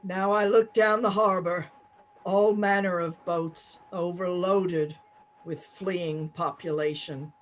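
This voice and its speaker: A man playing a grave, resolute radio announcer reporting a doomed city in halting, clipped phrases, in 1930s radio play style. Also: on the high side, a bit bright, slight background noise, low-quality recording. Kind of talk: 1930s radio play news bulletin acting.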